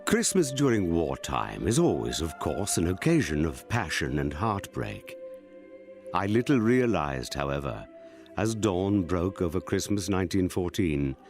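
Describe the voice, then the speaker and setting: A wry narrator of a period radio comedy looks back on his youth in a low, gravelly voice. His delivery is measured and mock-solemn, yet it rises and falls widely. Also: full character voice. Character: man narrator looking back wryly on boyhood at a country hall. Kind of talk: scripted comic period radio drama narration.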